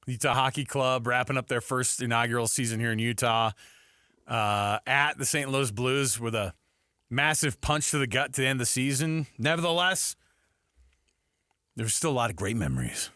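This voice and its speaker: Bright, forceful male sports radio host. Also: mid-range voice, moderate pace, no echo, clean studio sound. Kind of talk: casual sports radio chat.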